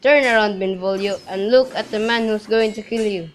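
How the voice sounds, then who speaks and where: A man acting as a menacing young hothead, over slight background noise. His high male voice taunts and threatens in student radio play fashion. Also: normal speed, natural voice. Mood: threatening. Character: menacing, hot-tempered young male villain hungry for a fight.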